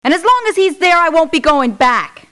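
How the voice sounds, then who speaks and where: An actress playing a proud, aggrieved mercenary for hire in a video game, with a curt, assertive delivery. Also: female voice, very high-pitched, very animated, normal speed, a bit bright, exaggerated voice. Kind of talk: scripted video game voice lines. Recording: lo-fi muffled game clips in places.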